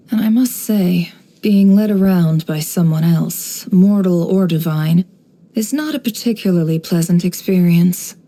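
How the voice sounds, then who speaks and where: Game role: cool, guarded, knowing young woman. Lower register, soft dark tone, wry and unhurried composure. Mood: dry, displeased. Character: cool, shrewd, guarded young desert-born woman running an information business. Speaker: video game character voice. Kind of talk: scripted game character voice lines.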